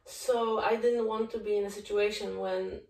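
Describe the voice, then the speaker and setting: A woman's voice: mid-range voice, moderate pace. Polish-accented woman telling her story. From Poland. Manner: open, candid, animated in places. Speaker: guest, documentary filmmaker. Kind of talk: personal storytelling in interview. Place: living room, indoors. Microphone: far camera. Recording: echoey room, far from mic.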